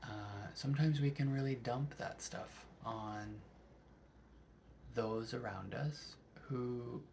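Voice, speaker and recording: A middle-aged man giving a thoughtful, unhurried spiritual reflection to his viewers, often asking "right?". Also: medium pitch, creaky, a little mellow, a little hiss or hum. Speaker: vlogger.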